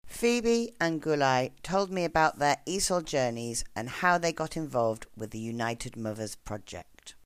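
Woman podcast host, lively intonation. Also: low-pitched, measured pace, hardly any pauses, clean tone. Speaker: podcast host and English teacher. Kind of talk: scripted podcast narration.

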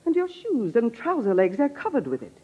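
A woman's voice: a little high, huge pitch swings, fairly quick, husky, lo-fi sound, heavily acted. A woman acting a determined, suspicious middle-aged sister-in-law in a 1940s radio drama, blunt and accusing, on an old radio recording. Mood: suspicious, cutting.

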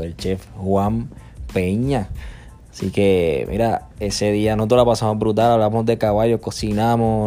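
A man introducing an episode in a relaxed, slangy way. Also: fairly low pitch. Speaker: host, intro voice-over.

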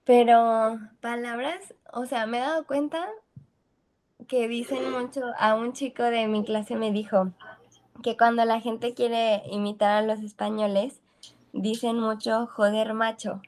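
A young Mexican woman with a Mexican accent, speaking in a voice a little higher than most. She chats freely and slangily as a podcast guest and laughs easily. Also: fairly even intonation, measured pace, a little mellow. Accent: Mexican Spanish. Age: young adult. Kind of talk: casual chat.

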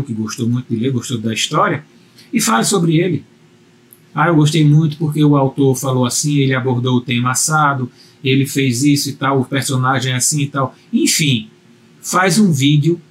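A man with a slightly high voice gives a motivational video lesson on speaking and self-confidence. He talks straight to the viewer in an earnest, repetitive way, pressing each point home. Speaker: communication coach.

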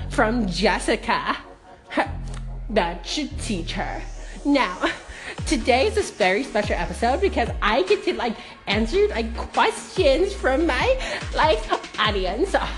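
A woman playing a shallow, self-absorbed young podcaster who talks in a giggly valley-girl drawl. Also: medium pitch. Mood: catty. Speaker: host of her own audio show. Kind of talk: comedic parody monologue.

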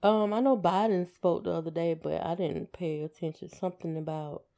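A woman's voice: lowish voice, steady tone, normal speed, creaky, dark tone. A woman chatting in a laid-back, plain way as a podcast co-host. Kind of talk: casual chat with spouse.

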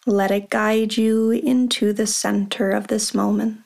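Slow, gravelly, flat-voiced woman guiding meditation. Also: mid-range voice. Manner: slow, soft and calm, with long pauses. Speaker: meditation guide. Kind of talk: guided meditation.

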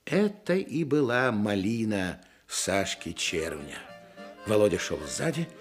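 A man's voice: on the low side, sing-song, slow, faint music behind. A man playing the narrator of a radio adventure play, telling the story in dramatic scripted narration. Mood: suspenseful.

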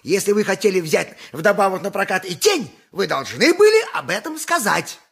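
An actor playing an indignant, pious, grumbling donkey driver in a radio play, with emphatic, plaintive peasant bluster. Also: male voice, sits high, very animated, moderate pace, gravelly, a bit bright, slightly rough, full character voice. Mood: indignant, haggling. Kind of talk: theatrical 1980s radio play acting.

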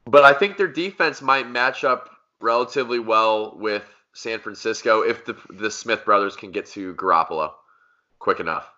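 Man, sports podcast host, lowish forceful voice, casual chat, dull lo-fi audio. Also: normal speed. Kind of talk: casual sports talk with friends.

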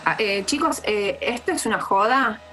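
A woman playing a flustered radio host with a Buenos Aires accent, halting but trying to stay composed on air in a radio drama. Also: medium pitch, bright, forceful tone, faint music behind, lightly acted. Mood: shaken, controlled. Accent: Buenos Aires Argentine. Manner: hesitant, halting, formal on-air phrasing.

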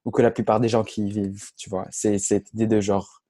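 Young guy hosting a casual podcast, lowish voice, dry close sound, stop-start phrasing. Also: level tone, brisk, dark tone, no room sound. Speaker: podcast host. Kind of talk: casual discussion.

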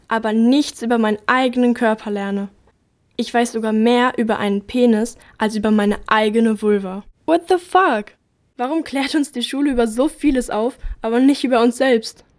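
A woman reciting scripted lines in an ensemble audio play, with an indignant, emphatic delivery. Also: on the high side.

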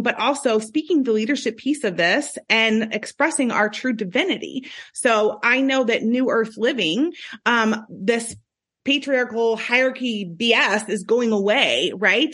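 Woman, slightly high voice, earnest, self-assured, conversational podcast guest. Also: fairly bright tone. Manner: earnest, self-assured, seeks agreement with "right?". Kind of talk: casual reflective conversation.

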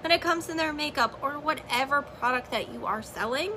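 A woman hosting a podcast, giving emphatic, repetitive business advice straight to her listeners, her voice slightly hoarse. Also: sits high, a little fast, noisy background. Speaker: podcast host and network marketer. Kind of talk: motivational business talk on a live stream.

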